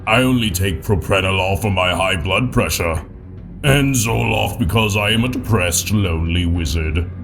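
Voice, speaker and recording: A man voicing a weary, solemn old wizard with a booming voice in a comic story, music behind. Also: moderate pace, vocal fry. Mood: offended, gloomy. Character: weary old male wizard, lonely, solemn, easily offended. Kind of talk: comic audiobook character voice.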